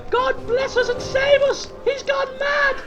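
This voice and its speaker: A man's voice: level tone, measured pace, lo-fi sound, over music. A man voicing a scandalised woman in a pub for a story, shrieking and exclaiming in horror. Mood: horrified. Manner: shrill, exclaiming. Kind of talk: comic storybook character voice.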